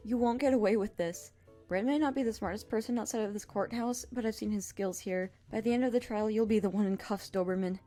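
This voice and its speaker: A woman plays a feisty accused woman in an audio drama. Her voice is mid-pitched, dark and soft-edged, with a sassy, stubborn manner. There is faint music behind her. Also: brisk, lightly acted. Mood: combative. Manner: sassy, combative.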